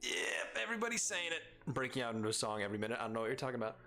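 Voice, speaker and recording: A man's voice: medium pitch, very animated, racing, bright, forceful tone, soft background music. Playful male streamer with an Arizona mumble. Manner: playful, teasing, rambling jokes. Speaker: live streamer host. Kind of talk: casual chat with viewers.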